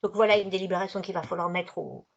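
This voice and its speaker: A woman's voice: lowish voice, steady tone, fast, vocal fry. A woman presenting slides as a trainer in an online session, explaining in a conversational, didactic way and rephrasing as she goes. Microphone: video conference microphone. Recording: dull, lo-fi video call audio.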